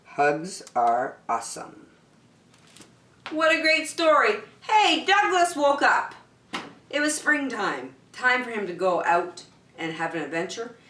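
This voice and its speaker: A woman reads a picture book for young children at an unhurried pace. Her pitch rises and falls expressively, with key words drawn out. The room around her is echoey. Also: very animated, sharp tone. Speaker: storyteller. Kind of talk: animated children's storybook reading.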